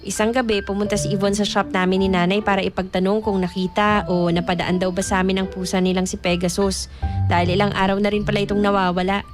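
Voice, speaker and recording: An actor playing an earnest young Filipino man who narrates his own story in a measured, confessional radio drama style. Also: sits high, natural voice. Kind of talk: scripted first-person radio drama narration. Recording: music bed under narration.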